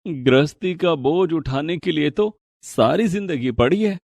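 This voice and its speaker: An actor playing a caring elderly father-in-law, gently reasoning, in an animated moral story. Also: a little high, a little slow, creaky, no echo, studio quality. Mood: concerned.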